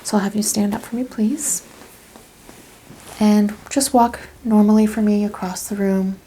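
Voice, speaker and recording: Woman, mellow soft ASMR voice, gentle clinician instructions, slips into whispers, faint background noise. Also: mid-range voice, steady tone, unhurried, rough recording. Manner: gentle clinical questioning and step-by-step instructions, often dropping to a whisper. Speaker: ASMR practitioner in a chiropractic roleplay. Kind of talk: soft-spoken ASMR roleplay.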